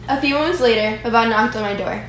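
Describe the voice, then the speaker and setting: A woman's voice: on the high side, quick pace. A woman reading scripted dramatic narration for readers' theatre. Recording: distant, echoey, faintly noisy room.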